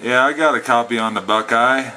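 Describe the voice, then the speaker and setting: A man's voice: mid-range voice, fairly quick, over loud music, rough recording. A man operating a CB radio, speaking in a folksy way with CB slang, between radio calls and casual explanation. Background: CB radio static and chatter.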